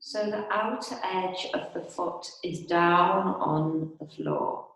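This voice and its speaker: A woman instructor guiding restorative yoga and meditation in a calm, soothing, unhurried manner with long pauses. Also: fairly low pitch. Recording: very echoey, reverberant room.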